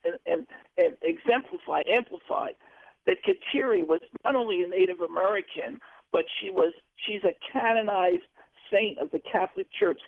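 A man's voice: high-pitched, gravelly, no room sound. A man calling in to a radio show, effusive and rambling, stumbling over his words. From Pennsylvania. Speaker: caller. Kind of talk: call-in radio question. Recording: dry tinny phone line.

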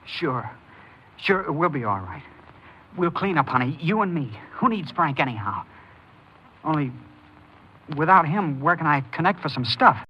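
Jittery high-voiced American stickup man. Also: big pitch swings, normal speed, long pauses, breathy edge, muffled recording. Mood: jittery, craving. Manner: restless, insistent, brash. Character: jittery young small-time robber and drug addict, cocky. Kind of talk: mid-century American crime radio drama acting.

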